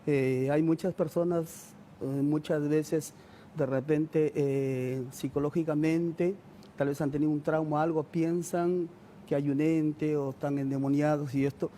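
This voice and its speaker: A man's voice: fairly high pitch, fairly even intonation, measured pace, mellow, a little hiss or hum. A man from Peru with a Peruvian accent, speaking as an astrologer on a TV panel. He is polite and hesitant and uses many fillers. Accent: Peruvian Spanish. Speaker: astrologer and spiritualist, panel guest. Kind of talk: studio panel discussion.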